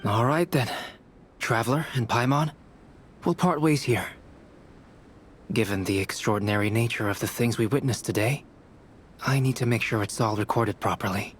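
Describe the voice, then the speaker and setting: Actor as a composed young alchemist, mid-pitched and considered, faint game music behind. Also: male voice, a little hiss or hum, natural voice. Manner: measured, thoughtful, polite. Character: calm, scholarly young male alchemist. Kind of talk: video game voice acting.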